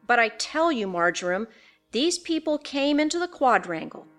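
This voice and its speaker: A woman voicing a young gentlewoman in a ghost story, shaken yet determined, speaking earnestly and formally. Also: a little high, very animated, normal speed, faint music behind. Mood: insistent. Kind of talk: dramatised audiobook ghost story.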